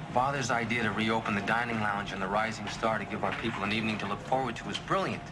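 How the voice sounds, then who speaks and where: An actor playing an earnest, teasing young military officer with a wry manner in a television science fiction drama. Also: male voice, fairly low pitch, fairly quick, lightly acted. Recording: noisy TV soundtrack, vehicle-like hum.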